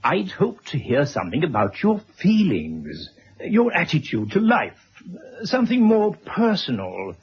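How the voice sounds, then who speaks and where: A courteous, fussy old retired gentleman in a vintage radio play. His voice is very husky and lively in pitch, with precise, polite phrasing. The sound is dull. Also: measured pace, exaggerated voice. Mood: fussy, probing. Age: older. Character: courteous, fussy elderly retired man writing a memoir. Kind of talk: 1970s radio drama acting.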